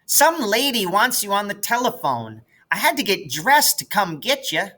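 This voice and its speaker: A whiny little sister from a storybook, acted out by a man, pitched well up from his own voice. She is prissy and complaining, with a bright, pointed edge. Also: very animated, normal speed, exaggerated voice.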